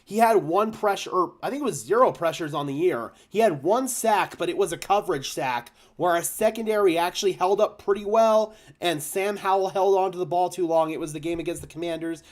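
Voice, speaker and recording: A young man, slightly hoarse, hosting a live stream about his football team, chatting casually and thanking donors with bursts of excitement. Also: high-pitched, a little fast, few pauses. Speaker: fan channel host. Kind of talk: live stream chat reacting to donations.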